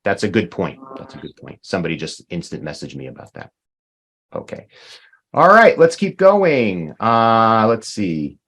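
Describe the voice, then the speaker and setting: A man's voice: lowish voice, wildly swooping pitch, moderate pace, a bit bright. A man teaching a language class over a video call, patiently explaining words and repeating them.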